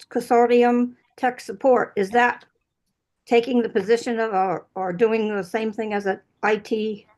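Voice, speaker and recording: A hoarse woman phones in to a public meeting. Her slightly high voice moves haltingly as she puts her questions. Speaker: caller at public comment.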